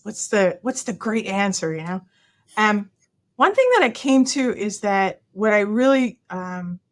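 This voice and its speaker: A woman's voice: medium pitch, a bit bright. A woman in her fifties answering interview questions over a video call from an office, thoughtful and earnest, restarting her sentences often with many ums. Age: 50s. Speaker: guest. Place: office with a large window.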